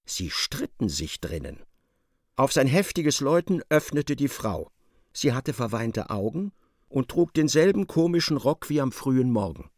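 A man giving expressive, scripted literary narration in a radio play. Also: medium pitch, unhurried, no room sound, clean studio sound.

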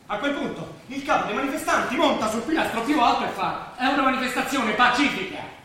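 A man acting on stage as an excitable working-class Roman who tells street stories vividly and mimics the people he quotes. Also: very high voice, brisk, heavily acted. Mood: vivid storytelling. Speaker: stage actor. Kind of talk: theatrical stage drama dialogue. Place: theatre stage, recorded from afar. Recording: echoey stage, distant mic, faint noise.

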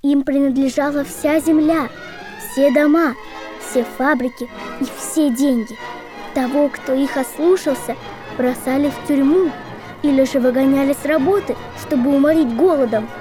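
A woman's voice: high voice, steady tone, normal speed, music in the background, natural voice. An actress playing an earnest, girlish woman reciting propaganda history didactically in an audio drama. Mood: earnest, grave.

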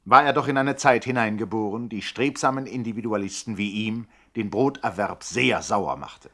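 A man's voice: fairly low pitch, normal speed, bright, forceful tone. Mock-solemn male radio play narrator.

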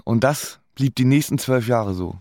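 A man's voice: medium pitch, normal speed, a little mellow, a little hiss or hum, natural voice. An actor playing a sardonic dance-band musician who narrates his bleak life drily in a radio play. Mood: dry, sardonic. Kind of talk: scripted first-person radio play narration.

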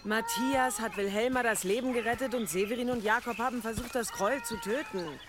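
An actor playing an earnest villager in a radio drama, reasoning and correcting. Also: male voice, extremely high pitch, a little fast, background noise. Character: reasoning villager pleading with a headman.

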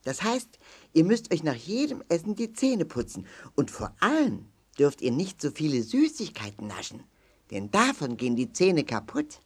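A woman's voice: sits low, very animated, normal speed, breathy edge, exaggerated voice. A woman playing a spirited, clever animal who teaches tooth care in a children's audio play, instructive and emphatic. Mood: eager, instructive.

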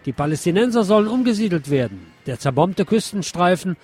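A man's voice: high voice, big pitch swings, a little fast, a little mellow, slightly rough, soft background music. A man hosting a radio programme, commenting on the news and reading press reports aloud. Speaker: radio host.

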